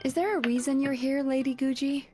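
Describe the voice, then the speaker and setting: Calm, candid young businesswoman for an anime game, voiced by an actress, slightly high voice, dry wit, light music behind. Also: brisk, close to an everyday voice. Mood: dry, composed. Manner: calm, candid, dryly teasing. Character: composed, dry-witted, gentle young businesswoman. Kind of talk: anime video game voice acting.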